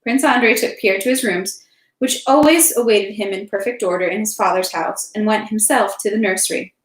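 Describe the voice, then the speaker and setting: A woman's voice: mid-range voice, normal speed. Woman in an echoey room, mid-range voice. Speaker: livestream host reading a novel aloud. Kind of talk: casual livestream chat and storybook read-aloud. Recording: roomy, echoey livestream sound.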